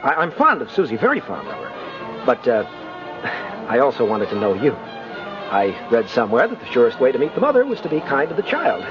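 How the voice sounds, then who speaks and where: Genial, animated gentleman. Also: mid-range voice, brisk, over music. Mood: courteous, sheepish. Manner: genial, hesitant, polite. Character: friendly, courteous bachelor neighbor charming mother and child. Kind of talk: 1950s radio drama acting. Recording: muffled old 1950s radio recording.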